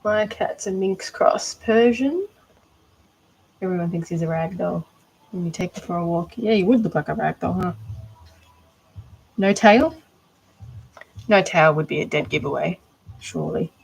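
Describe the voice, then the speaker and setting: A woman's voice: on the low side, unhurried, vocal fry, pauses often, a little mellow, a little hiss or hum, low-quality recording. A woman with an Australian accent chatting casually during a livestream, rambling and thinking aloud while she crafts. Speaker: art doll maker.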